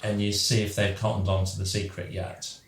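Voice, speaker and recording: A man's voice: fairly low pitch, monotone, a little fast, slight background noise. A man hosting a casual podcast chat, patiently explaining the rules of a group game with plenty of ums. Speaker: podcast host running a game. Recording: roomy echo.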